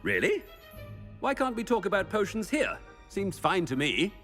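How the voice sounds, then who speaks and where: A high-pitched, blustering pompous headmaster. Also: male voice, wildly swooping pitch, normal speed, a bit bright, faint music behind, heavily acted. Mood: haughty, blustering. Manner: blustering, haughty. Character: flustered, bossy impostor of a pompous male headmaster. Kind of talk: comic video game acting.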